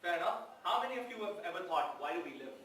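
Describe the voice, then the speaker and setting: A man's voice: sits high, lively intonation, very fast, fairly bright tone. A male guest professor giving a lecture to students, asking them questions and repeating his key words. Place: large echoey hall. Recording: echoey hall, distant mic, faint noise.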